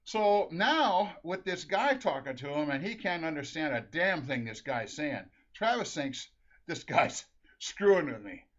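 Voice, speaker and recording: Middle-aged man from Minnesota, casual storyteller, rambling and chuckling, slightly high voice, muffled lo-fi recording. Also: sing-song, unhurried, fairly bright tone. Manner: rambling, laughs through his story, restarts often. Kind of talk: casual anecdote. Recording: dull lo-fi recording.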